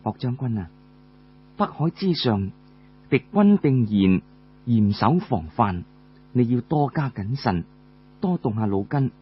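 A man with a Cantonese accent voicing a composed, careful female army commander who gives quiet, grave instructions in a told story. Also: mid-range voice, big pitch swings, measured pace, pauses often, slightly rough, muffled recording, voice pushed down. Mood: grave, cautioning.

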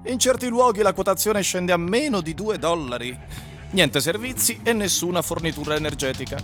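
An actor playing a grim train passenger in a radio drama, telling of desolation in measured, literary phrases. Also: male voice, expressive, fairly bright tone. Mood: grim, insistent. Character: grim male fellow train passenger telling of dying cities. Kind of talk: theatrical radio drama acting and storytelling. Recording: music under the voice. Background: plucked guitar or bass music.

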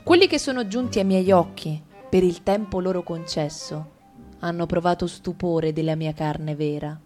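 A woman's voice: lowish voice, moderate pace, darkish tone, faint music behind. Italian woman reading with ironic bite. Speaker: radio drama reader. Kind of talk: expressive dramatic reading.

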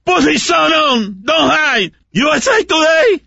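An older man with a heavy Cuban accent reading out a shouted rant with gleeful energy, played back as dull lo-fi audio. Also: very high-pitched, sing-song, unhurried, vocal fry, dry recording. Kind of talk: reading an on-air rant aloud.

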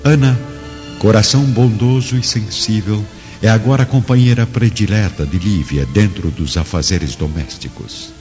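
A man gives solemn, measured narration for a radio drama in a very deep voice. The sound is muffled and heavily reverberant, with music behind. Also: darkish tone.

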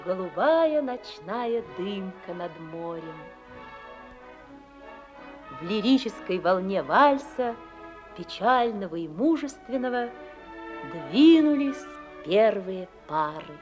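A woman's voice: medium pitch, very animated, slow pace, pauses often. A woman narrating a radio play in a lyrical, solemn manner. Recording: music behind.

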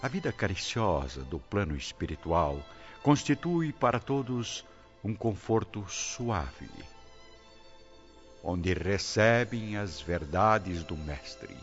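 A man with a Brazilian accent narrates a radio drama. His voice is very deep and his delivery solemn and literary. Music plays behind a somewhat dull recording. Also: lively intonation, slow pace.

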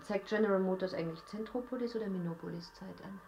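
A woman's voice: mid-range voice, fast, darkish tone, lo-fi sound, a little hiss or hum, close to an everyday voice. An actress playing a plaintive woman at home, grumbling to her partner in naturalistic radio drama dialogue. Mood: wistful, reproachful.

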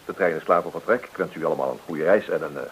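An actor playing a courteous, hearty police inspector in a radio drama, polite and official. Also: male voice, low voice, racing. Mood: cheerful, grateful. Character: brisk, courteous, grateful male police inspector. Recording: muffled, faintly hissing old radio recording.

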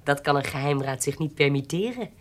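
An actress playing a proud, defiant young woman in her twenties in a period radio drama, commanding, accusing and sharp-tongued. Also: low-pitched, lively intonation, fairly quick. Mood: cool, threatening. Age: 20s.

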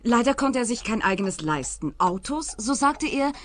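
A woman's voice: a little high, very animated, moderate pace, slight background noise. A young woman playing a flustered, earnest female client in a radio play. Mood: earnest, determined. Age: young adult. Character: flustered young female client, muddles her words, determined.

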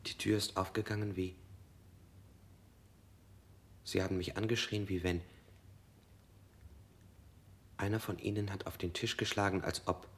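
An actor playing a dazed man under interrogation, who answers in detached, halting fragments. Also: sits low, flat delivery, fairly bright tone, breathy edge, heavily acted. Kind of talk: radio drama acting.

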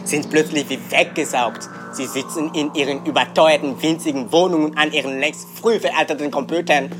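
A man's voice: fairly high pitch, fairly even intonation, fairly quick, natural voice. A man playing a wry culture worker in a scripted spoken-word monologue. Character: wry male culture worker reporting artists' plight. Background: music.

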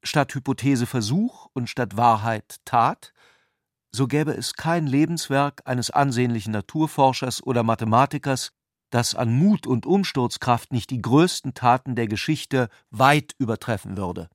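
A man reading scripted literary narration for a radio play in a measured, articulate way. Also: medium pitch, dry recording, studio quality.

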